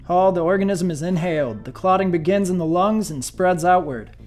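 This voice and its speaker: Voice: mid-range voice, a little fast, darkish tone, soft background music, lightly acted. An actor playing a grim, matter-of-fact medical researcher in a radio play, with a blunt, clinical delivery. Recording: echoey room.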